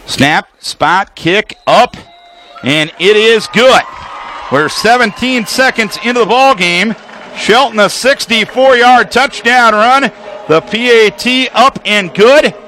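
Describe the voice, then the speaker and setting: A male American radio announcer doing live football play-by-play. His voice sits high and has a bright, forceful edge. He lays out the action in precise, clipped phrases. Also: animated, takes it slowly, slight background noise. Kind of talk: live sports play-by-play commentary.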